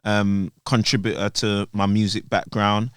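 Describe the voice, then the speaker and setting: A man with a London accent and a smooth, resonant voice talks through his story in a relaxed, meandering way, full of street slang. The sound is close and dry, with no room echo. He was born in Jamaica. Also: fairly low pitch, unhurried. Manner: laid-back, rambling storyteller with street slang. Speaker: guest musician. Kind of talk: casual podcast interview. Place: podcast studio.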